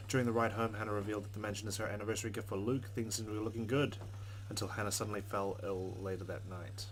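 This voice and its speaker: A man's voice: lowish voice, slight background noise, poor audio. A man reading a visual novel aloud as a let's player, slipping between narration and casual commentary.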